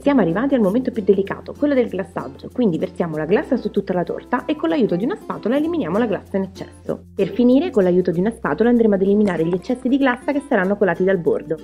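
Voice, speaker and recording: A woman's voice: medium pitch, lively intonation, rapid-fire, few pauses, dark tone, faint music behind. A woman narrating a recipe in voice-over as a home cooking host.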